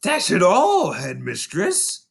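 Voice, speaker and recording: A puzzled eleven-year-old storybook schoolboy, acted by a man. He protests hesitantly in a slightly raised, wavering pitch, sounding thoroughly bewildered. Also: wildly swooping pitch, slow pace, creaky, breathy edge, heavily acted.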